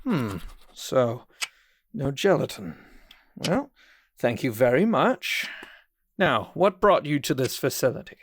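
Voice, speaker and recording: A man's voice: medium pitch, sing-song, slow, pauses often, dry recording. A man playing a condescending, falsely cheerful psychiatrist in a horror audio drama, with a smug, mock-polite manner. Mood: smug, prying. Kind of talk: theatrical audio drama acting.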